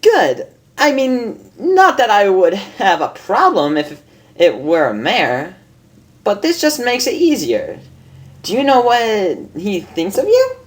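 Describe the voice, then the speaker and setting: A woman voicing an elegant young female unicorn dressmaker in a storybook reading, prim and theatrical with affected, drawn-out exclamations. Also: medium pitch, huge pitch swings, pitched above the performer's own voice. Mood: delighted.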